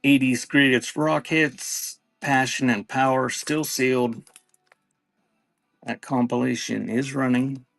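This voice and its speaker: A man's voice: mid-range voice, fairly even intonation, slow pace, vocal fry, a bit bright, faint music behind. A man hosting a live-stream auction, announcing items in short clipped phrases and chatting casually with viewers in between. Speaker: live auction seller and host.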